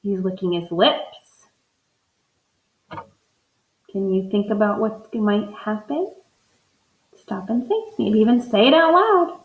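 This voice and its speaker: A woman's voice: mid-range voice, expressive, slow pace, pauses often, echoey room, rough recording. A woman teacher doing a read-aloud lesson, thinking aloud as she models inferences from the pictures for her students.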